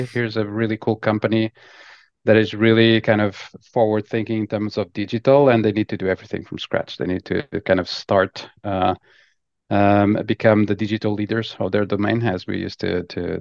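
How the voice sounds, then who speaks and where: A man's voice: on the low side, level tone, normal speed, vocal fry, no room sound. A man, talking casually as an interview guest on dull, dry lo-fi audio. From Lisbon, Portugal. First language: Portuguese. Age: 40s. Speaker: podcast guest, technology executive.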